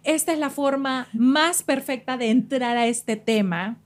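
A woman's voice: sits high, measured pace. A woman with a Honduran accent co-hosting a casual studio chat show, gushing and exclamatory in her talk. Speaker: show co-host.

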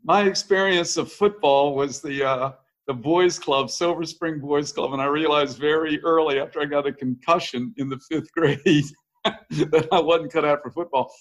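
An older American man, a podcast guest, telling candid, self-deprecating stories from his life in a relaxed interview. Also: a little high, normal speed. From United States. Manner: candid, self-deprecating storyteller with frequent restarts. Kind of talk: casual conversational interview.

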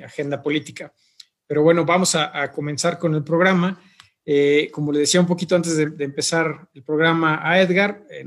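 A man hosting an interview, talking in an unhurried, hesitant way with many pauses. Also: a little high. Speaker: podcast host.

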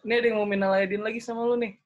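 A man's voice: sits high, little pitch movement, very fast. A man with a Jakarta Indonesian accent chatting casually, as in a phone call between friends. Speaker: podcast host.

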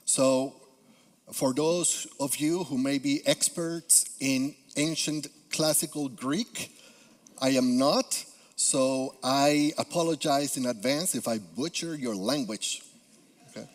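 A man from Peru with a Spanish accent delivers a church sermon at an unhurried, deliberate pace. His middle-pitched voice keeps stopping on little "uh" pauses as he works through his thoughts. A long reverb tail from the sanctuary follows every phrase. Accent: Spanish-accented English. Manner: halting with frequent "uh" fillers, earnest. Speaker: guest preacher.

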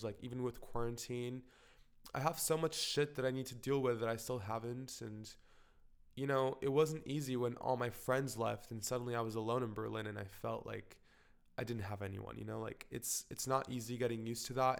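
Young man, mid-pitched, smooth clear voice a bit stuffy from a cold, confessional vlog ramble. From Portugal. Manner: frank, rambling, heavy filler words and swearing. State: slightly congested with a cold. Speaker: vlogger. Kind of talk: candid personal vlog monologue.

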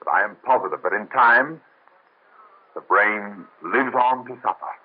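An actor playing a grave, brooding doctor in revolutionary Paris, in an old radio drama. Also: male voice, on the low side, husky. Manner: grave, measured, then commanding shouts. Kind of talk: 1930s radio acting. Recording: tinny lo-fi old radio recording with hiss.

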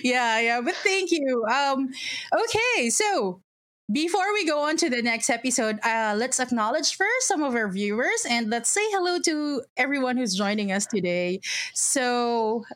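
A woman hosting a live podcast in casual chat, bubbly and quick to laugh, her voice a bit squeaky today. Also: animated, fairly bright tone. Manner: bubbly, laughs often, greets viewers one by one. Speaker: podcast host. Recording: live-streamed video call.

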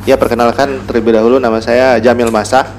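A man's voice: mid-range voice, flat delivery, fast, darkish tone. A man with a Gorontalo Indonesian accent chatting as an interview guest, explaining reflectively and searching for his words. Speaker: podcast guest, writer. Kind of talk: casual conversational interview. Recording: noticeable room noise and ambience.

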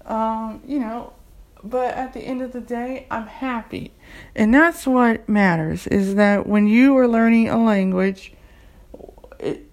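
A woman's voice: fairly high pitch, darkish tone. Earnest, enthusiastic woman in her forties. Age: 40s. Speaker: community English tutor and language learner. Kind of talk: casual personal testimonial.

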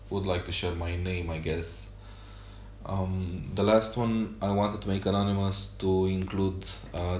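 Deep voiced guy, first language romanian, rambling slow with lots of ums, echoey room, faint hiss. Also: a little mellow. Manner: hesitant, many pauses and fillers. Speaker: podcast host. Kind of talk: unscripted solo podcast journal.

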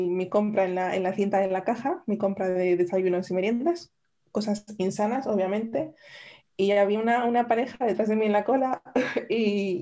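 Mellow-voiced woman telling a story. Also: mid-range voice. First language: Spanish. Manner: storytelling, many restarts and "o sea". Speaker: podcast co-host. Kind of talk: casual chat over wine.

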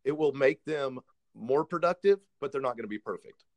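A man's voice: medium pitch, expressive, fairly quick. A man in his thirties who works as a realtor, talking casually and earnestly, sharing advice and laughing at himself now and then. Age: 30s. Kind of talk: casual podcast conversation.

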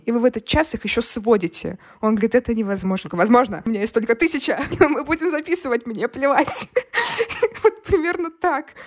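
Young female podcast guest, singer type. Fairly high voice, informal and expressive delivery. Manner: expressive, informal, acts out her inner dialogue. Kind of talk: casual conversational interview answers.

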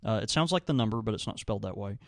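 A man's voice: lowish voice, lively intonation, rapid-fire, gravelly, dry recording, studio quality. A young man co-hosting a podcast, talking in an easygoing, rambling way with lots of fillers. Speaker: podcast co-host and tobacco shop worker. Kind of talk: casual chat.

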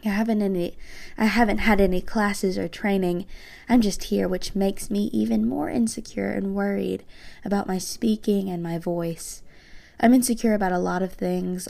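A teenage girl hosting a personal podcast, speaking softly and reflectively with a low voice, reading from her journal. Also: vocal fry. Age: teens. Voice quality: low voice for a woman. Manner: soft-spoken, reflective, slightly hesitant. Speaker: podcast host.

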